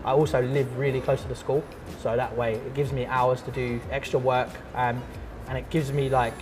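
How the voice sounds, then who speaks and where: A man's voice: mid-range voice, normal speed, music in the background. Chatty man, easygoing. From London. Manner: relaxed and chatty, full of fillers and restarts. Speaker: artist and art teacher. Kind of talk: casual interview chat.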